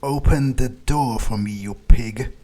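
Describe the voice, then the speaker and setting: A man's voice: medium pitch, slow pace, dark tone, faint music behind. A man narrating a game playthrough in character, thinking aloud in a musing, casually profane way. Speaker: gamer playing in character.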